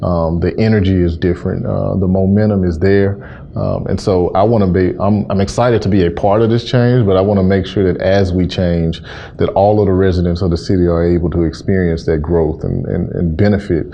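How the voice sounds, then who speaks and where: An American man in his thirties from Memphis, giving measured, earnest interview answers as a city official. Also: low voice, fairly even intonation, vocal fry, warm tone, muffled recording. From Memphis, Tennessee, USA. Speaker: interview guest, city housing and community development director.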